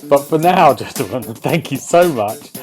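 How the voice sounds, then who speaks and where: A man's voice: on the high side, animated, normal speed, darkish tone, soft background music. A man hosting a podcast, chatting casually about games and television in a rambling, enthusiastic way. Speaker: podcast host.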